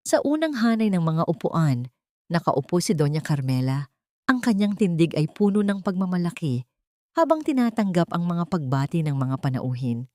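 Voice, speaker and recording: A woman's voice: fairly low pitch, sing-song, normal speed, mellow, no room sound, studio quality. A woman narrating a scripted love story in a solemn, dramatic manner.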